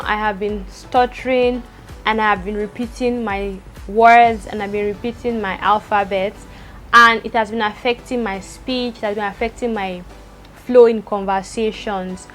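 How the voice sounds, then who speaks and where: A young woman vlogging from home, talking very fast with a stutter and repeating words. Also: fairly high pitch. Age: young adult. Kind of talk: personal vlog talk to camera. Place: indoors at home, rain outside.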